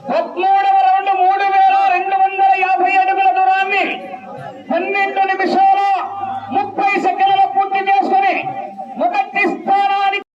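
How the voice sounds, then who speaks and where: A man giving excitable live bull race commentary, shouting out announcements and hype cries. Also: extremely high pitch, moderate pace, highs cut off. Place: outdoors at a race ground, crowd around. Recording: distant echoey outdoor PA, loud crowd noise.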